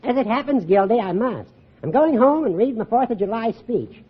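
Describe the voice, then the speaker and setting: An American actor playing a wry, meddlesome old judge who teases dryly in a 1950s radio comedy. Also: male voice, very high-pitched, animated, normal speed, husky, exaggerated voice. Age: older. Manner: dry, teasing, meddlesome, cackling. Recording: muffled, noisy old radio broadcast.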